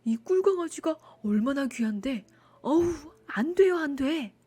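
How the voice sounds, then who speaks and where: A man's voice: very high-pitched, lively intonation, unhurried, warm tone. A woman voicing a naive but crafty young bachelor in a Korean folktale storybook. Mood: crafty, coy.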